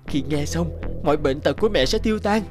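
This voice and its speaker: A man's voice: fairly high pitch, expressive, a little fast, lightly acted. A man playing an earnest, dramatic young hero and devoted son in a fairy-tale cartoon. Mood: hopeful, anxious. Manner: dramatic, talks to himself. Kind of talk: Vietnamese dubbed fairy-tale cartoon acting. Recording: music behind the voice. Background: background music.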